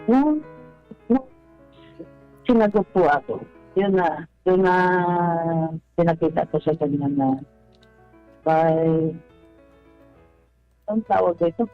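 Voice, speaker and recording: A middle-aged man calling a radio show, telling his story casually in a halting, deferential way, repeating his phrases. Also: a little high, lively intonation. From Philippines, Visayas or Mindanao. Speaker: caller to a radio advice show. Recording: dull lo-fi phone call, faint music.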